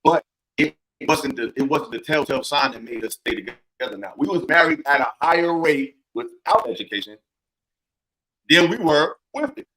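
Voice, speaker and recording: A man's voice: fairly high pitch, animated, normal speed, pushed and bright, low-quality recording. A man speaking as a panelist in a heated debate, emphatic and argumentative, citing data to make his case.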